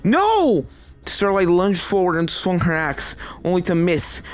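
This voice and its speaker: A man's voice: high voice, flat delivery, normal speed, smooth. A man reading a horror story aloud as a dramatic narrator.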